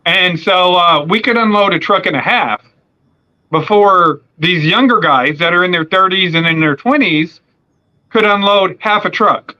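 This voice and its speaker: A man's voice: sits high, a little slow, bright, forceful tone, highs cut off. A man in his forties hosting a casual conversation, rambling with lots of fillers and restarts. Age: 40s. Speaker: podcast host.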